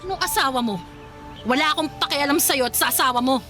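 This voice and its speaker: A woman's voice: sits high, fast, over music, close to an everyday voice. A woman playing a scorned, proud ex-mistress in a Filipino radio drama, confrontational, sarcastic and emotional. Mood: cold, impatient.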